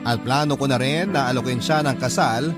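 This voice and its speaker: An actor playing a sincere, patient boyfriend narrating his love letter in a Filipino radio drama, measured, with a Filipino accent. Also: mid-range voice, loud music behind the voice, natural voice. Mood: sincere, reflective.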